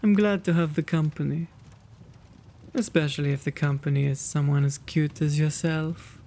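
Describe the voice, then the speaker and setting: A man playing a kind, quaint gentleman vampire in an ASMR roleplay, with genteel, old-fashioned, fussy diction and a creaky voice. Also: on the high side, steady tone, normal speed, slight background noise, close to an everyday voice. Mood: genial, chatty.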